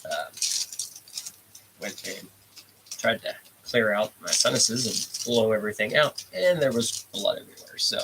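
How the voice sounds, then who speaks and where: A man's voice: fairly low pitch, very animated, measured pace, long pauses, noisy background. Stuffy-nosed man chatting on a live stream. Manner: laid-back, rambling, reads out chat comments. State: congested, stuffy nose. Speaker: livestream host. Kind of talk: casual live stream chat.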